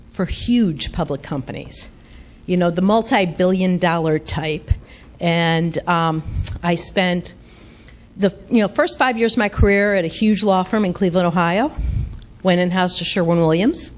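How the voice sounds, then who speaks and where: Low-voiced woman telling her career story. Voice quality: big voice. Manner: self-deprecating, laughs through anecdotes. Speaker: guest speaker, lawyer. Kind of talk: storytelling talk to an audience.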